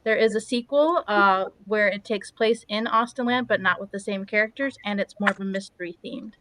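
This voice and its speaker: A woman giving a casual, explanatory book pitch as a game contestant. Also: brisk, fairly bright tone, poor audio.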